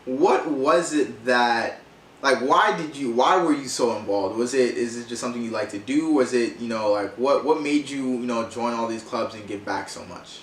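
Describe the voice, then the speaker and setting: A man in his twenties hosts a casual interview podcast with loose, slangy phrasing. His voice sits at an average pitch and sounds set back from the mic, in an echoey room with faint noise. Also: normal speed, fairly bright tone, recorded from a distance. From Haitian background. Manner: informal, slangy. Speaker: podcast host.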